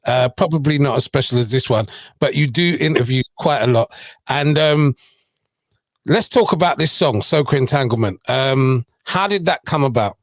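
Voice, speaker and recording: A man's voice: mid-range voice, animated, normal speed, no echo. A man hosting a radio interview show, casual and playfully teasing with his guest.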